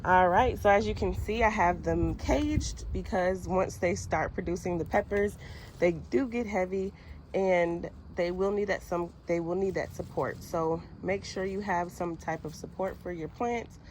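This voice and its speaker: A woman's voice: on the low side, a little slow, a little mellow, a little hiss or hum, low-quality recording. A woman with a stuffy cold voice giving casual how-to tips in a gardening vlog, chatty and friendly with little laughs and fillers. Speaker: gardening vlogger.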